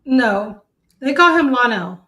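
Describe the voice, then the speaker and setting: A woman's voice: on the high side, animated, takes it slowly, bright, forceful tone. A woman co-hosting a podcast, chatting and joking casually. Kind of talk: casual chat.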